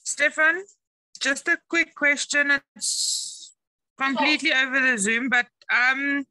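Woman on a video call, slow and a bit unsure, bright higher voice, dry sound. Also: fairly even intonation, no room sound, faint music behind, poor audio. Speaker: participant asking a question. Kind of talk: casual video call question.

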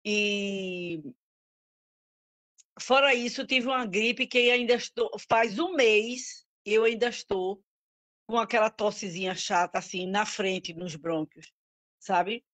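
A middle-aged woman on an audio-only video call at home, talking in a halting, worried, rambling way. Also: medium pitch, fairly bright tone. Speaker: group participant. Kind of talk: casual chat on a video call.

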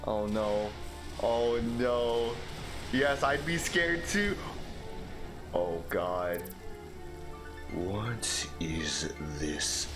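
A man in his twenties hosting a let's play, with energetic, goofy gameplay commentary. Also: fairly low pitch. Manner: energetic, goofy, quick reactions. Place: small home room. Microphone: studio mic on a boom stand. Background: game music.

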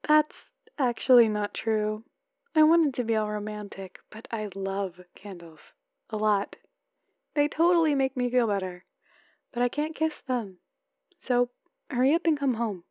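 A woman's voice: on the high side, animated, unhurried, no echo, phone-quality sound. A woman playing an adorkable, lovesick, playful newlywed wife leaving chatty voicemails in an ASMR roleplay. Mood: longing, teasing. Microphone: close microphone, dry.

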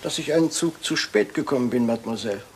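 A male actor playing a shrewd, courteous Belgian detective in a German radio crime drama, with measured, probing questions. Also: medium pitch, natural voice. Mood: polite, insistent. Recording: clearly noisy old radio play recording.

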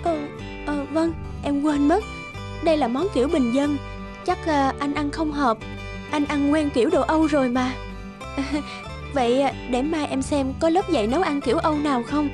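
A woman voicing a high-pitched, eager young wife. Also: moderate pace, close to an everyday voice. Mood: eager to please. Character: young wife, eager to please, lonely and wistful. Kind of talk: Vietnamese cartoon dubbing. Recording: guitar music under the voice.

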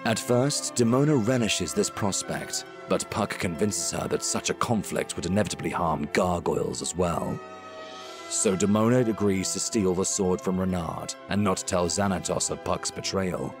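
A man's voice: low-pitched, normal speed. A man reading a scripted, dramatic recap as the announcer of an audio series. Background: music.

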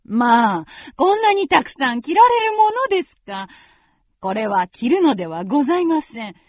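A woman's voice: sits high, big pitch swings, normal speed, a little mellow. A woman playing a genteel, fretful middle-aged mother in a radio drama, with very polite old-fashioned feminine speech. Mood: genteel, proud. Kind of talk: Japanese radio drama acting.

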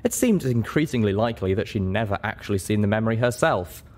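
A man's voice: lowish voice, expressive, fast talker, darkish tone. A man narrating fiction expressively as an audiobook reader.

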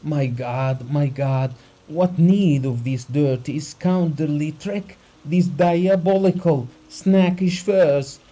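A man voicing a distraught, betrayed gentleman in a dramatized audiobook, crying out in anguished, repeated exclamations. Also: fairly high pitch, slow, hardly any pauses, voice pushed up. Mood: raging despair.

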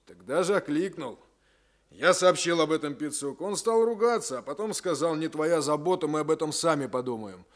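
A man's voice: on the high side, lively intonation, moderate pace, close to an everyday voice. Sullen, defiant arrested criminal played by a man. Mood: grudging confession. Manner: grudging, colloquial. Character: sullen, defiant male criminal under interrogation. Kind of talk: 1960s Soviet radio drama acting.

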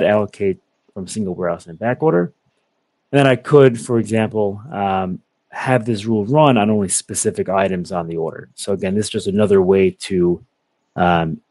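A young man giving a methodical, step-by-step software walkthrough over a video call. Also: on the low side, no room sound. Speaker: software product presenter. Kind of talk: screen-share software walkthrough.

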